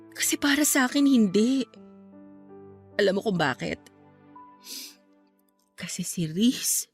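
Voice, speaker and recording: A woman's voice: medium pitch, natural voice. An actress playing a grieving, devout young woman in a radio-style drama, sorrowful, reflective and pleading as she questions her faith. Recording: music bed behind voice.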